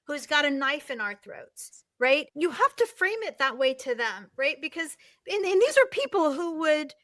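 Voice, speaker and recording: High-voiced woman guest, intense and emphatic, dry close mic, from palestine. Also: sing-song, moderate pace, dry recording. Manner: forceful, emphatic, repeats words for emphasis. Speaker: guest, novelist and activist. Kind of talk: impassioned interview talk.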